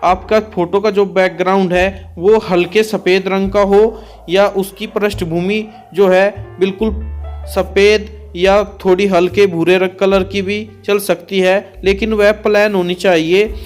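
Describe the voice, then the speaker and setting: A man giving a methodical informational explanation, restating points so they are clear. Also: sits high, flat delivery, unhurried, soft background music. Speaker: exam and recruitment explainer, host.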